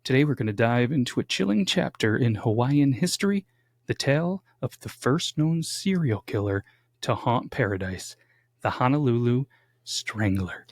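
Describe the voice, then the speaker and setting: Young male podcast host, mid-pitch, chatty and jokey, dry close studio sound. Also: expressive, moderate pace, no echo. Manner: chatty, jokey, dramatic build-ups. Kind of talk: casual true-crime podcast chat. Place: home studio.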